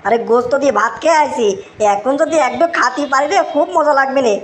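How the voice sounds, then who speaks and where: A whiny young village drunkard from a comic cartoon, pitched very high. He has a rural Bangladeshi accent and drags out his excitable exclamations. The track is clearly noisy, with clicks. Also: fast. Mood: impatient. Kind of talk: Bengali comic cartoon voice acting.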